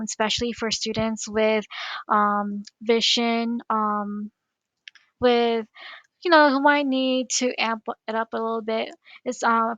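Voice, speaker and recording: A woman's voice: a little high, fairly bright tone, no echo. A woman with a Filipino accent walking teachers through a screen-recorded tutorial, chatty and step by step. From Philippines. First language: Tagalog. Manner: chatty, explains step by step while clicking. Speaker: teacher coach.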